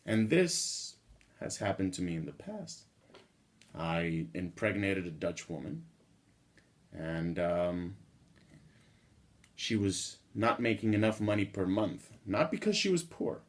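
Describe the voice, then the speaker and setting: A man's voice: low-pitched, a little mellow. A man in his thirties from the Dominican Republic, talking straight to the camera from a small room and building an earnest, indignant argument. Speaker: vlogger. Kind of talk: direct-to-camera monologue. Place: small indoor room at a table.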